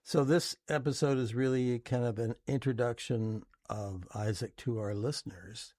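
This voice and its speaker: A relaxed male radio host with a voice of medium pitch, recorded in a dry, close studio. He introduces his guest at an easy pace, with "uh" pauses between phrases. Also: no room sound. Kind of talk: radio talk show hosting.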